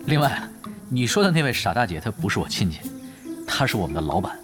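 A man's voice: on the low side, very animated, fast. A man acting in a Mandarin audio drama as an easygoing, confident esports team leader, wry and plain-spoken. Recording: clear music under the voice.

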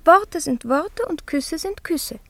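A woman's voice: sits high, lively intonation, slow, no echo, exaggerated voice. An actress playing a naive, plain-spoken young peasant girl with simple, shy candour in a radio play. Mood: candid, wistful. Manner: simple, artless. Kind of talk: classic radio play acting.

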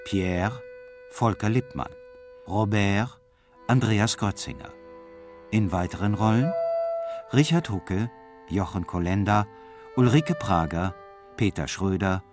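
A man announcing radio play credits in a scripted, deliberate reading. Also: fairly low pitch. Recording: guitar music under the voice.

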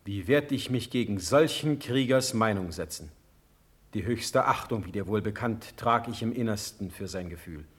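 Husky, fatherly sovereign. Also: on the low side, animated. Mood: gentle, reassuring. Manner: declamatory, deliberate. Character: older sovereign lord, fatherly, dignified, emotional ruler. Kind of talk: classical German verse radio drama acting.

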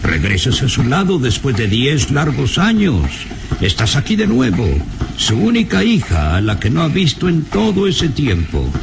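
A man's voice: medium pitch, huge pitch swings, moderate pace, gravelly, noisy background. A man playing a nervous, grumbling professor in an old radio serial, complaining in an agitated and hesitant manner. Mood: indignant, concerned. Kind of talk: old Latin American radio serial acting.

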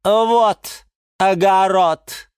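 A woman's voice: medium pitch, steady tone, extremely slow, a little mellow, clear, resonant tone, no echo, studio quality, voice pushed up. A woman voicing a snobbish, scornful female orchid in a children's story, sneering and shrieking. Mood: snide.

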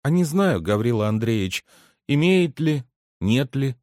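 A man voicing a timid, submissive young maidservant in a storybook, speaking quietly, hesitantly and obediently. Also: medium pitch, very animated, measured pace, no echo, studio quality, pitched above the performer's own voice. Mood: meek resignation. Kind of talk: dramatic literary audiobook character reading.

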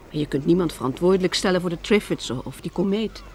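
Actrice als luchtige, plagende echtgenote met achtergrondruis. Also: female voice, lowish voice, fast, a little mellow, slight background noise, lightly acted. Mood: reflective. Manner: light, teasing. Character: playful, gently determined wife of a weary survivor. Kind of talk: radio drama acting.

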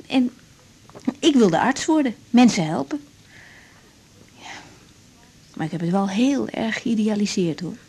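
An actress playing an idealistic young doctor in a radio drama, speaking candidly with reflective hesitations. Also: female voice, medium pitch, expressive, measured pace, long pauses, dark tone, breathy edge. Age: young adult. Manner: hesitant, reflective, self-searching. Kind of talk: naturalistic radio drama dialogue.

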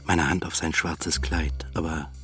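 Radio play first-person narrator: deep, level, pensive, music underneath. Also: male voice, steady tone, unhurried, bright, forceful tone, exaggerated voice. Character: pensive, observant man recounting his thoughts. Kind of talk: literary radio drama narration.

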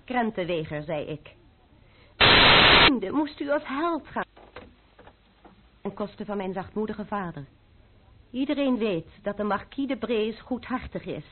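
Actrice als trotse, spottende jonge edelvrouw, telefoonachtig dof hoorspelgeluid. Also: female voice, mid-range voice, animated, a little slow, long pauses, background noise, natural voice. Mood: haughty, reproachful. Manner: haughty, scornful, commanding. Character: proud, defiant young French noblewoman, sword-fencing avenger. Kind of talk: Dutch radio play acting. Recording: narrow-band, muffled old radio recording.

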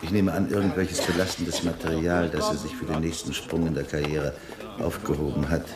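An actor playing a sardonic, curious man in a German radio drama, speaking in a dry, musing way with a husky voice. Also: very deep voice, flat delivery, brisk, over a noisy crowd. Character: sardonic, curious man deciphering a dead man's secret papers.

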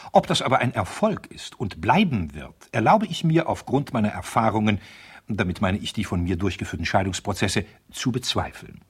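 An actor playing a stern, cynical male divorce lawyer in a radio play, speaking in a formal, pointed and condescending manner with a husky, rough voice. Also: fairly low pitch, very animated, normal speed, heavily acted. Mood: sardonic disapproval. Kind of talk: radio drama letter monologue.

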